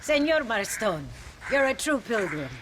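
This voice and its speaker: An actress playing a stern, devout Mexican mother superior in a video game cutscene, speaking deliberately and with dry gravity. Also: a little high, big pitch swings, background noise. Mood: dryly curious. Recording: music behind the dialogue.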